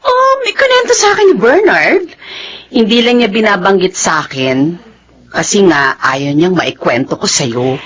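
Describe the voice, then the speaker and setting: A woman's voice: on the low side, very animated, a little slow, a bit bright, slightly rough, exaggerated voice. A woman playing a teasing, inquisitive and supportive best friend in a radio drama.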